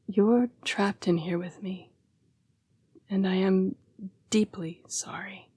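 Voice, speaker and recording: A woman voicing an apologetic, protective yet predatory female vampire fighting her bloodlust in an intimate audio roleplay, with hushed, formal, halting speech. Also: mid-range voice, steady tone, dry recording. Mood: grave, protective. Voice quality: breathy, whispery. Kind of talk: intimate scripted audio roleplay addressed to the listener.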